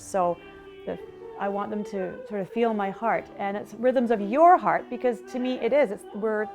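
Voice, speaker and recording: Earnest woman from Argentina, reflective. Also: mid-range voice. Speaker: singer and homeschooling mother. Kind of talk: interview.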